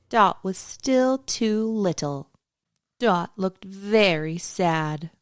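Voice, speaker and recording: A woman's voice: mid-range voice, dry recording. A woman narrates a children's picture book with lots of melodic movement in her voice. She takes her time and tells it expressively for young listeners. The sound is close and dry. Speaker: storytime host and narrator.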